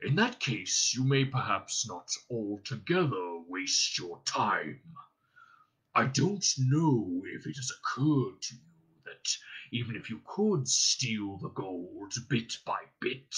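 A man voicing a huge, wily old male dragon, slow, mocking and boastful, in a storybook reading. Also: fairly low pitch, very animated, a bit bright, heavily acted, low-quality recording. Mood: sly mocking.

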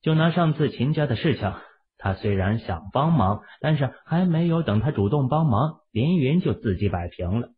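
Male audiobook narrator, husky, lively pitch swings, thin phone-like sound. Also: normal speed. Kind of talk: expressive scripted novel narration. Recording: muffled, phone-like narrow sound.

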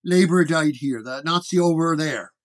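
A man voicing a brash, sneering young police sergeant in a detective story, gruff and needling. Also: fairly high pitch, moderate pace, lightly acted. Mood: matter-of-fact.

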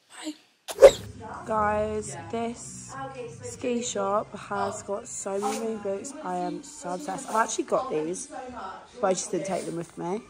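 A young British woman in her twenties, hoarse with a lost voice, chatting and rambling to a handheld camera in a ski apartment. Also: mid-range voice. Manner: chatty, rambling, self-deprecating. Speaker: vlogger. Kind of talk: casual vlog chat to camera. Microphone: handheld camera at arm's length.

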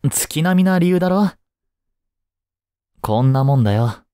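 A man playing a kind, easygoing schoolteacher in a voice drama, gently and casually encouraging a student. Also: on the high side, lively intonation, clear, resonant tone, no echo, studio quality. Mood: reassuring, encouraging. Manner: gentle, unhurried, casual, reassuring with long pauses. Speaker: voice drama performer. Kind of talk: Japanese voice drama, one-sided intimate talk to the listener.

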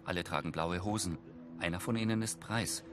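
A man's voice: sits low, fairly quick, noisy background. A man reading audio description for a TV series in a neutral, matter-of-fact way. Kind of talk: scripted descriptive narration of a TV series.

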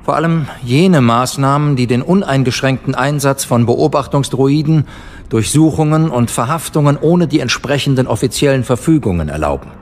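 A man's voice: mid-range voice, animated, moderate pace, slight background noise, natural voice. An actor playing a composed, determined male senator with formal speech in a German radio drama. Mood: composed, firm.

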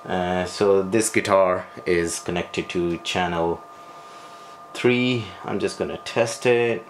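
A man presenting a hands-on equipment tutorial, speaking off the cuff in halting, broken phrases with frequent hesitations. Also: sits low, fairly even intonation. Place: small bedroom, indoors. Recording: home video with noticeable background noise.

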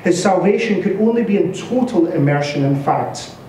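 A man in his thirties reading a literary story aloud with expressive, dramatic delivery. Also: high-pitched, moderate pace. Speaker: story reader at a live literary event. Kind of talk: scripted literary narration read aloud. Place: bare-walled indoor venue, live reading. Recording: echoey room from a distance, faint noise.

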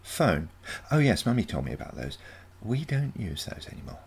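Storybook granddaughter from the future, read by a man: young, breezy, naive, with a lightly creaky edge. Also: fairly low pitch, very animated, normal speed, pitched above the performer's own voice, full character voice.

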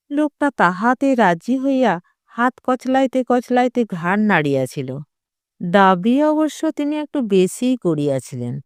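Clear, expressive, slow-paced story narrator. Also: medium pitch, no echo, studio quality. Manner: measured, dramatic storytelling delivery. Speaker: audiobook narrator.

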